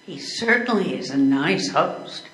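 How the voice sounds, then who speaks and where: Older woman as a slow-talking, wry wife. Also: low voice, expressive, a bit bright. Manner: dry, teasing. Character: wry, long-suffering wife on holiday. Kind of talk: amateur readers theater. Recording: echoey room.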